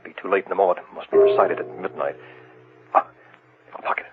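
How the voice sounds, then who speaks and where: An actor in an old-time radio horror drama playing an obsessive husband who dabbles in occult ritual and mutters breathlessly. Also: male voice, sits low, fairly even intonation, fast, over loud music, lightly acted. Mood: furtive, urgent. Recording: noisy, tinny old radio recording.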